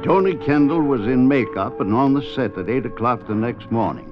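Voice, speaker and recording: A man's voice: medium pitch, expressive, moderate pace. A man narrating a scripted 1950s radio drama as storyteller. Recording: dull 1950s radio recording with music.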